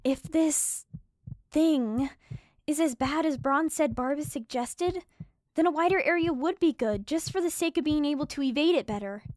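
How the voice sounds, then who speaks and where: A woman acting as a young female fantasy adventurer. Her voice is high and polite, with a reasoning, matter-of-fact manner, recorded dry for an audio drama. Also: moderate pace, a little mellow, no room sound, close to an everyday voice. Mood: reasoning, uneasy. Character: Nyla, level-headed, gently doubting young female adventurer.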